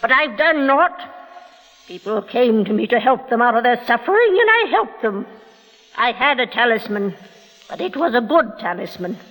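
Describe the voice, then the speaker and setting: An actress playing a defiant, secretive old village healer in a 1940s radio drama, with theatrical, archaic speech. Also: female voice, on the high side, sing-song, moderate pace, a bit bright, rough recording. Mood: plaintive, resigned. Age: older.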